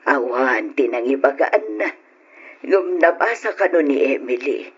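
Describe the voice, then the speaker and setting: Slow-speaking devout Ilocano grandmother. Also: rumbling low, expressive, slightly rough, exaggerated voice. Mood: sorrowful. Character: devout, consoling elderly mother and grandmother. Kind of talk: old-time radio drama acting. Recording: muffled, noisy old radio recording.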